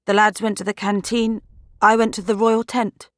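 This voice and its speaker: An actress plays a young woman soldier in a fantasy game, shaken and subdued. Her voice is medium in pitch and recorded very dry, with no room around it. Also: little pitch movement, normal speed, fairly bright tone, no echo, close to an everyday voice. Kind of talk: video game voice acting.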